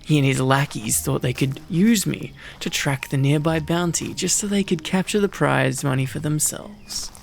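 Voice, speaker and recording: A man playing a prickly, easily flustered wolfkin mercenary in an ASMR roleplay, gruff and sardonic, secretly protective. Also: fairly high pitch, normal speed, few pauses, faint music behind. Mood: irritated, sardonic.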